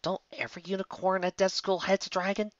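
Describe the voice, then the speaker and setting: Guy as a no-nonsense cartoon pony lady, wry, raised pitch, slightly muffled mic. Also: level tone, a little fast, no echo, natural voice. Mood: wry, puzzled. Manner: questioning, muttering.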